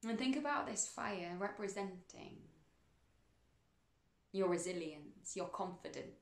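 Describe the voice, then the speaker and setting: A woman guiding a meditation in a soothing, unhurried manner, with measured phrases and pauses between them. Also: fairly low pitch, animated, gravelly, reverberant. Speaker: meditation guide. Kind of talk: guided meditation narration.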